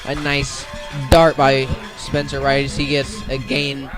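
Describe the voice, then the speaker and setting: A man's voice: mid-range voice, monotone, takes it slowly, music in the background. A man doing live radio play-by-play of a football game outdoors, in short clipped phrases. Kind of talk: live radio sports commentary.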